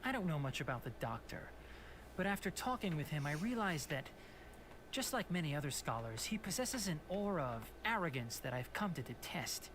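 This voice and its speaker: An actor playing a calm, principled male doctor in a video game, speaking in a measured, polite and firm way. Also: on the high side. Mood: reflective. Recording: game audio with clear background hiss.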